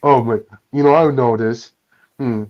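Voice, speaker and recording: Casual interjector: halting, scattered delivery, mid pitch, dull lo-fi recording. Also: fairly even intonation. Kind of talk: casual chat, role-play interjections.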